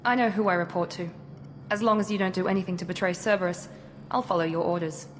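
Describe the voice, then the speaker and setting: Woman acting cool guarded lady operative from a video game, lowish voice, clipped n proud, noisy background. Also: fairly even intonation, quick pace, lightly acted. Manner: clipped, assertive, formal. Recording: noisy game audio with ambience.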